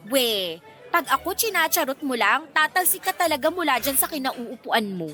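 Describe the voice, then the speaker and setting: A woman with a Filipino accent playing an indignant, sharp-tongued young woman who scolds a man in a radio romance drama. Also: high voice, sing-song, moderate pace, faint music behind, full character voice. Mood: irritated, wary. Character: indignant, feisty young woman confronting a male prankster.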